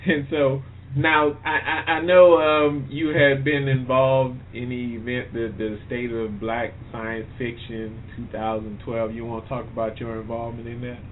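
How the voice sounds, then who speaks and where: A man hosting a casual interview, speaking haltingly and repeating words as he makes his points. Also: medium pitch, little pitch movement. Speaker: radio show host. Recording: muffled phone-like line, faint noise.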